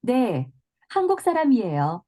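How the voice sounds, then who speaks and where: A woman with a fairly high, mellow voice reads her lines of a scripted practice dialogue. She speaks slowly and carefully, with lively movement in her pitch. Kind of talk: scripted dialogue for a listening exercise.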